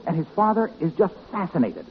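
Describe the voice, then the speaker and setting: An actor playing a hearty, self-pleased salesman pal in a 1950s radio comedy, pitching with earnest enthusiasm. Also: huge pitch swings, brisk, slight background noise. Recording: muffled 1950s radio recording.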